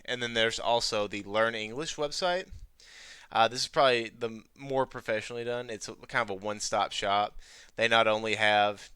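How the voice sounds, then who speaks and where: A man with a fairly low, bright voice presents a casual tutorial. His delivery is informal and conversational, full of filler phrases. The sound is clean and dry, with a crisp tone. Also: moderate pace, no room sound. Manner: informal, conversational, peppered with "you know". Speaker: presenter of an educational video on teaching ESL. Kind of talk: casual tutorial talk over a screen demonstration.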